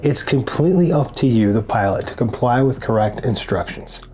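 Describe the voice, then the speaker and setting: A man in his forties presents a scripted tutorial, speaking to camera in an instructional way. His voice sits at a middle pitch. Music plays behind the narration. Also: lively intonation, moderate pace, darkish tone. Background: background music.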